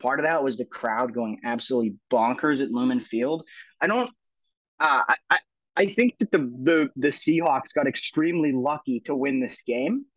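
A man's voice: medium pitch, normal speed, fairly bright tone, no room sound. A young man co-hosting a football podcast, arguing animatedly with lots of stammered restarts. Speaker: podcast co-host. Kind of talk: casual football podcast chat. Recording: dull dry lo-fi sound.

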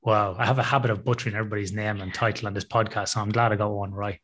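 A man's voice: lowish voice, flat delivery, racing, a bit bright. A man hosting a podcast in a casual interview style, chatty and given to joking and teasing. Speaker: podcast host.

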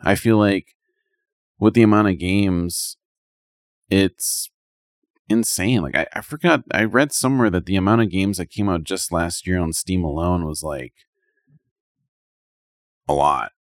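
Man, low voice, dry close sound, rambling colloquial podcast chat. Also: measured pace, pauses often, dry recording. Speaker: podcast co-host. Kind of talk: casual chat about video games.

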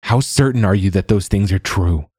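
A man's voice: sits low, normal speed, husky, dry recording, clean studio sound. A man voicing a troubled, guarded nobleman father in a fantasy story, speaking tersely and urgently. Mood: guarded, pressing. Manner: hushed, terse, commanding.

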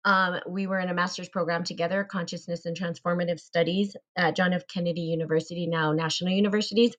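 A woman's voice: on the low side, little pitch movement, fast talker, fairly bright tone. A woman hosting a casual live talk show, chatty and full of fillers. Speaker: host.

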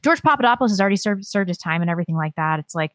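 A woman's voice: fairly low pitch, expressive, no echo. A woman co-hosting a podcast who answers bluntly and skeptically in casual political chat. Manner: blunt, skeptical, quick reactions. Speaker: podcast co-host.

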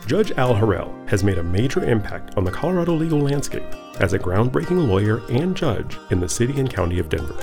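A man with a low, gravelly voice reads a scripted podcast introduction. His delivery is measured, yet his pitch moves a lot. Guitar music plays behind him. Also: sing-song. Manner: measured, announcer-like. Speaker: podcast narrator. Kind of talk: scripted narration.

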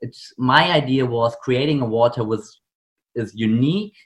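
A man's voice: medium pitch, normal speed. A man with a German accent, a native German speaker, answering as a guest on a podcast, earnest and hesitant with many ums. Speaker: guest, company founder. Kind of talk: interview answers.

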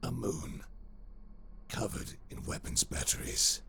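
An actor playing a pragmatic traitor officer who talks in a dry, matter-of-fact way. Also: male voice, slow pace, sharp tone. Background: music.

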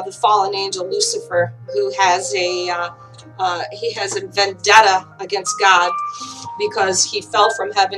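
A woman with a dry voice giving an informal online Bible teaching, halting often with fillers and restarts. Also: medium pitch, rough recording. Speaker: online preacher.

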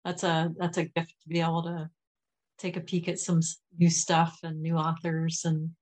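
Low-voiced woman podcast host. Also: completely flat delivery, normal speed, a little mellow. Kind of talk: casual interview chat.

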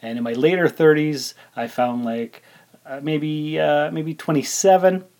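A man's voice: on the high side, steady tone, unhurried, darkish tone. A man in his forties hosting a solo podcast, talking informally and thinking aloud as he rambles along. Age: 40s. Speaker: podcast host. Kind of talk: casual solo podcast monologue.

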